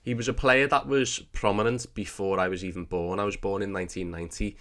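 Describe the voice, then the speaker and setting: A man's voice: on the low side, fairly even intonation, fast, a bit bright. A man in his thirties who has a cold, casually commenting over football clips in a rambling, chatty way. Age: 30s. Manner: chatty and rambling, with many restarts. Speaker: sports video host.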